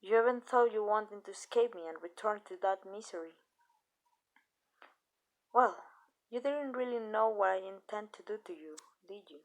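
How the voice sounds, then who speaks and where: A woman acts out a female vampire who owns a human and talks to them in a calm, possessive way. Her speech is soft and measured, with deliberate pauses, at a middling pitch for a woman. The delivery is an intimate ASMR roleplay monologue. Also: close to an everyday voice, rough recording. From Mexico. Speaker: amateur voice actress.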